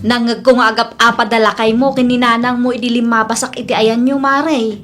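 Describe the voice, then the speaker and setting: Woman acting a high-voiced gossipy friend. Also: flat delivery, brisk, music in the background, lightly acted. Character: gossipy female friend passing on overheard insults. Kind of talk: comedy drama dialogue.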